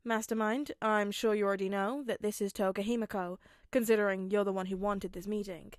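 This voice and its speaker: A woman's voice: mid-range voice, steady tone, brisk, dry recording, studio quality, close to an everyday voice. A woman voicing an easygoing male weapons dealer with a wry, casual way of talking. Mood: amused, wry.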